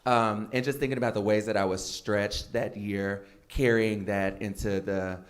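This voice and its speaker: A man's voice: fairly low pitch, fairly even intonation, normal speed. A man on a panel telling a story in a chatty way, with many fillers. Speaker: alumni panelist. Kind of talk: panel talk at a keynote.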